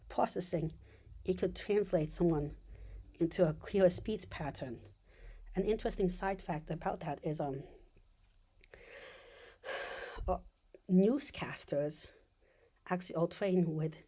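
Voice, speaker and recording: A woman's voice: lowish voice, mellow, husky. A woman giving an informal explanatory monologue, thinking aloud as she explains technical ideas step by step. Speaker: video essayist.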